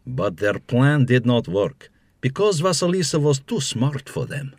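A man's voice: mid-range voice, very animated, unhurried, darkish tone, no echo, studio quality. A man narrating a scripted fairy tale for children as a storyteller, with wry asides.

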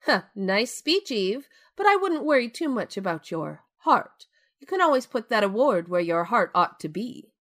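A woman's voice: fairly high pitch, sing-song, moderate pace, a bit bright. A woman playing a cutting, sarcastic grand stage actress in an audio drama. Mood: scornful. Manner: biting, sarcastic.

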